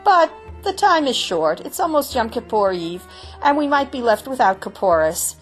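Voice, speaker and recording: A woman voicing a stern male village rabbi addressing the chickens loudly. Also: a little high, sing-song, moderate pace, soft background music, voice pushed up. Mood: commanding. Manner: loud, commanding, impatient.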